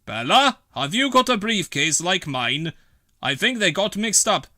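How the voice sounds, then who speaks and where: Earnest, anxious fireman read by a man, lifted pitch. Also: sing-song, unhurried, sharp tone, no room sound, clean studio sound, exaggerated voice. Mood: worried. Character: earnest, anxious, kindly male village fireman.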